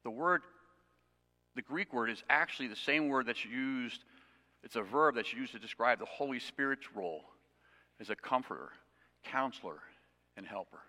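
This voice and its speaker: A man's voice: mid-range voice, lively intonation, moderate pace, long pauses, bright, forceful tone. A man preaching an expository sermon to his congregation, conversational with self-deprecating asides. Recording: long reverb of a hall.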